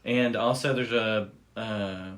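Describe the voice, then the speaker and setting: A man's voice: fairly low pitch, steady tone, takes it slowly, fairly bright tone. A man chatting casually as the host of a podcast. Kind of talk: casual chat.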